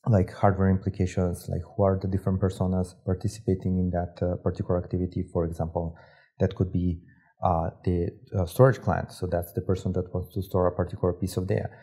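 Young Serbian-born man, deep relaxed voice, hesitant interview guest. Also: fast talker, husky. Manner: hesitant, many fillers and restarts. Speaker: guest, startup operator. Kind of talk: casual interview talk. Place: studio set with armchairs.

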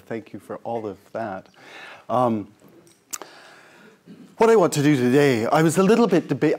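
A man's voice: medium pitch, darkish tone. Middle-aged guy from scotland, professor rambling thru an intro talk, lots of ums, lapel mic in a lecture room. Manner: rambling, self-deprecating, many fillers and restarts. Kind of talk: informal academic talk.

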